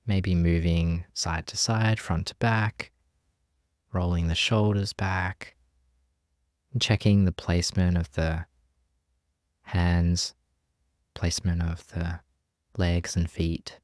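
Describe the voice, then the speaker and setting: Man, meditation teacher, low pitch, gentle delivery, long pauses, dry sound. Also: fairly even intonation, no room sound. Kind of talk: guided meditation.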